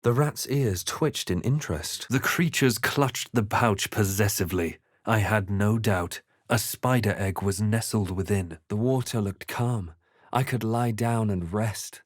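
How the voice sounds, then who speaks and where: A man playing a composed, brooding fantasy adventurer in a video game, telling events in a measured, dramatic way. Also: on the low side, dry recording, studio quality. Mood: musing. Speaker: player character voice. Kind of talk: scripted game narration and character lines.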